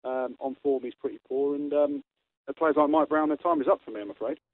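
A man's voice: fairly high pitch, fairly even intonation, brisk, dry recording. A man, a retired rugby player giving blunt, opinionated views as a radio guest. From England. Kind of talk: radio interview, call-in. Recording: tinny phone line.

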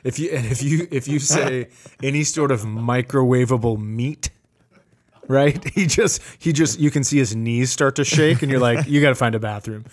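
A man's voice: medium pitch, moderate pace. A middle-aged man hosting a comedy podcast in casual chat, telling stories with an amused, ready-to-laugh manner.

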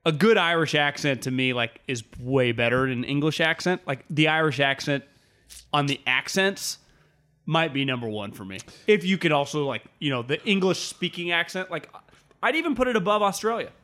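A man's voice: fairly high pitch, animated, normal speed, pushed and bright. A young man, co-hosting a casual sports podcast and rambling through his points with lots of fillers. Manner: rambling, filler-heavy, enthusiastic. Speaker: podcast co-host.

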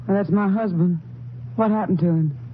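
A bitter, defensive ranch wife, played by a woman, curt and full of complaint in rough country grammar. It is old radio western acting on a thin, tinny recording with some hiss. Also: fairly low pitch, moderate pace, close to an everyday voice. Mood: wary.

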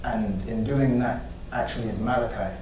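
A man, an actor being interviewed, speaking in an earnest, deliberate and emphatic way. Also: mid-range voice, fairly even intonation. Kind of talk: press junket interview. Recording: echoey room, distant mic, noisy.

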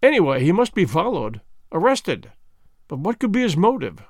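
A man's voice: sits high, very animated, moderate pace, slightly rough, no room sound, clean studio sound, heavily acted. A man reading the part of an eager, earnest young male companion who jumps to conclusions.

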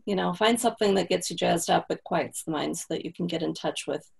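A woman's voice: lowish voice, a little fast, gravelly, breathy edge. A woman guest on a podcast interview, explaining practical tips in a casual, conversational way and checking in with "right?". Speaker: copywriting guest.

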